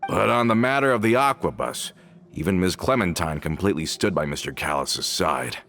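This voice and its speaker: A loyal gang member speaks in a very low, deep voice. He explains things earnestly, with small hesitations. The game dialogue sounds clean and direct. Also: animated, a little fast, fairly bright tone. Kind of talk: video game voice acting.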